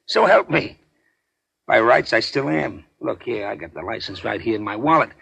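An actor playing a rueful small-time gangster in a 1940s radio drama, husky and gravelly, rambling in a folksy, confiding way. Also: male voice, mid-range voice, lively intonation, moderate pace, fairly bright tone, exaggerated voice.

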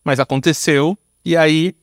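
Higher-voiced Brazilian man, casual podcast guest. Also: fairly even intonation, measured pace, no room sound, clean studio sound. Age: 30s to early 40s. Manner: informal, explanatory, frequent tag words. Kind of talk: casual conversational storytelling.

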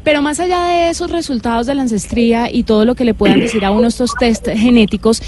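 Female radio interviewer, fairly high pitch with a soft, dark tone. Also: quick pace, slight background noise.

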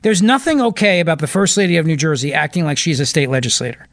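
A male radio host talks straight into a studio mic, his voice sitting a little high for a man. He presses his points hard and says them twice for weight. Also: quick pace, a bit bright. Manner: emphatic, repeats points for stress. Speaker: radio talk show host. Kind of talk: opinionated talk radio commentary.

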